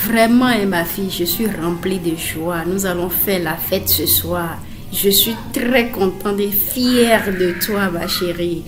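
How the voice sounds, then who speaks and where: A woman's voice: medium pitch, slow pace, over loud music, close to an everyday voice. Exclaiming, self-important cartoon mother played by a woman. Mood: delighted, proud. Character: money-hungry, proud mother of the bride.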